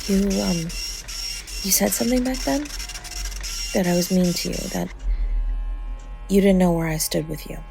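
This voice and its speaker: A woman playing a sharp-tongued but tender young woman who protects a lover, in an intimate acted audio roleplay, bluntly affectionate and halting when emotional. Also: lowish voice, creaky, slight background noise, low-quality recording. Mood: soft, earnest.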